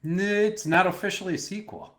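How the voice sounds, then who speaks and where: Excitable man arguing on a podcast. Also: high voice, a little fast. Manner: excitable, argumentative, laughing. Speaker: podcast co-host. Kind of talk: casual chat.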